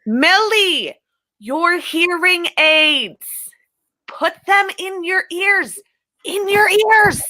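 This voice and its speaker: Woman as a prissy, slow, high-voiced scold. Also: animated, bright, forceful tone, full character voice, low-quality recording. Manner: earnest, chiding. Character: prissy, motherly, easily shocked small-town committee woman. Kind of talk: amateur staged play reading.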